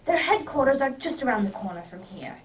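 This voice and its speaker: A woman playing a brisk, commanding, inquisitive male detective in an amateur radio drama. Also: sits high, big pitch swings, distant mic, slight background noise, poor audio. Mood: decisive.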